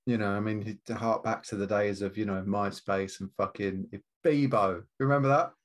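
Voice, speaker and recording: Man around thirty, podcast host, laid-back, swears casually, lowish voice. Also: fairly even intonation, normal speed. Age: 30s.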